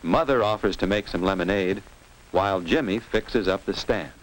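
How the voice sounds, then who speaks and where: A man's voice: sits low, normal speed. An American man narrating a 1950s instructional film for children in a scripted, didactic manner, posing simple questions and answers. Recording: noisy vintage 1950s film soundtrack with clicks.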